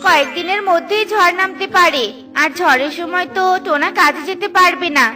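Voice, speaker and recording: A woman's voice: very high voice, brisk, bright, forceful tone, soft background music. A woman voicing a caring, hardworking little mother bird with a sensible manner in a children's cartoon. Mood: caring, practical.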